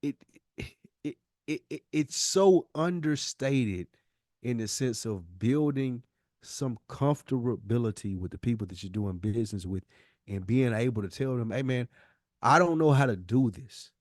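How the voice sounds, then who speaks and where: A man's voice: medium pitch, animated, a little slow, a little mellow, no room sound, studio quality. An American man co-hosting a podcast, talking casually and candidly in an earnest, slangy way and repeating words for emphasis. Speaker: podcast co-host.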